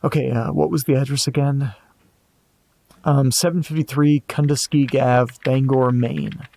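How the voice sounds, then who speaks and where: A man playing an anxious amateur investigator in a horror audio drama, muttering hesitantly to himself in a gravelly voice. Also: medium pitch, fairly even intonation, a little slow, dark tone. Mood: puzzled, musing. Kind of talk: audio drama monologue, reading and musing aloud.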